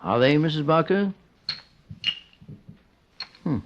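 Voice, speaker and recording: A man's voice: a little high, animated, slow pace, background noise. An actor playing a shrewd, composed male private detective in an old-time radio drama, probing, formal and authoritative. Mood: coolly probing. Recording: dull old radio recording.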